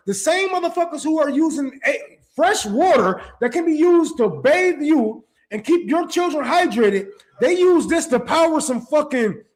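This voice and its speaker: Streamer, Detroit man, high and lively voice, profane animated rants. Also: rough recording. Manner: reads chat and thanks donors aloud. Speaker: livestream host. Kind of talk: casual livestream commentary.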